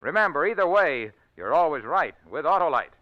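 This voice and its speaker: Animated higher-pitched vintage radio pitchman. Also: fairly quick. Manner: emphatic, salesman-like. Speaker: commercial announcer. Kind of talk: 1940s radio sponsor pitch. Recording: dull old radio recording.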